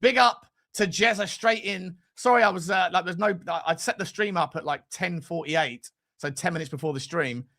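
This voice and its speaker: A high-pitched British man in his forties with a bright, forceful and gravelly voice. He hosts a football fan livestream, rambling from one jokey aside to the next. His talk is casual, slangy and sweary, aimed straight at the people commenting. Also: moderate pace, hardly any pauses. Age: 40s. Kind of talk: casual livestream chat, reading and answering comments.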